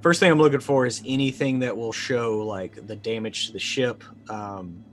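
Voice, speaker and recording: A man in his forties playing a tabletop game on a video call, casually and haltingly thinking aloud about his character's moves. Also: medium pitch, slight background noise.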